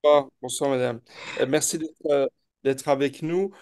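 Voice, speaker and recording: Guy moderating a live debate, soft mellow voice, kinda halting, repeats words. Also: medium pitch, fairly even intonation, clean tone.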